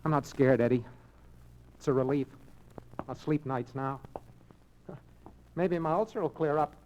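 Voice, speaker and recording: On a faintly noisy vintage radio recording, a male actor plays a ruined American office man. His pitch is middling. He speaks with weary, rueful resignation. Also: measured pace, a little mellow, dull audio, close to an everyday voice. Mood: resigned. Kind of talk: old-time radio drama acting.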